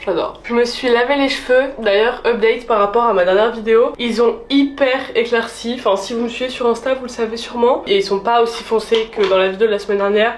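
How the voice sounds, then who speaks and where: A woman's voice: fairly high pitch, steady tone, fast talker, few pauses. A young woman from France vlogging at home about her day, chatty and upbeat, with a slight cold. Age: young adult. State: has a cold. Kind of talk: casual vlog narration.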